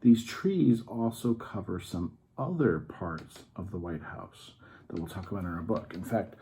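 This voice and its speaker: A man's voice: sits low, animated, a little slow, mellow, a little hiss or hum. A man hosting a children's story time, patiently explaining things in simple terms in an informal, educational read-aloud style.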